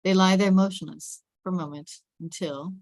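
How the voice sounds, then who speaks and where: Low-voiced woman reading stage directions. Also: fairly even intonation, normal speed, darkish tone, dry recording. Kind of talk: scripted narration.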